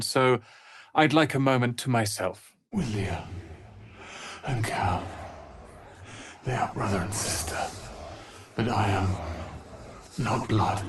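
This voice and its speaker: A man voicing a proud, sharp-tongued young wizard's apprentice and protective brother in a fantasy video game, articulate and theatrical. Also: fairly low pitch, breathy edge, dry recording, heavily acted. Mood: weary, subdued.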